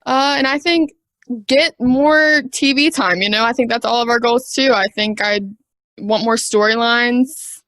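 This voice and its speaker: A young woman from Georgia with a mild Southern accent, answering interview questions casually, with many ums and pauses. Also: a little high, fairly bright tone, dry recording. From Brunswick, Georgia. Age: young adult. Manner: chatty, hesitant, many ums. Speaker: wrestler guest.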